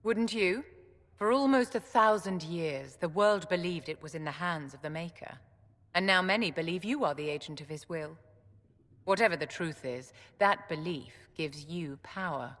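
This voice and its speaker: Woman acting a low, stately senior mage. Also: a bit bright, lightly acted. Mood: grave, probing. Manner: stately, deliberate, formal. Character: grave, dignified senior mage, composed and probing. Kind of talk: video game voice acting. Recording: reverberant hall.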